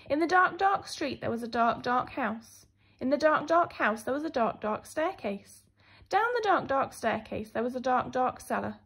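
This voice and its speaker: A woman's voice: high voice, fairly bright tone. A woman teacher reading a children's story expressively, with emphatic, dramatic phrasing. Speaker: primary school teacher.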